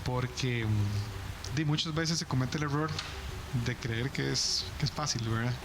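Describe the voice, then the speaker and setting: A Costa Rican man hosting a TV talk show, interviewing guests in a fast, conversational way that corrects itself as he goes. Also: mid-range voice, background noise. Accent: Costa Rican Spanish. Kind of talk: studio interview.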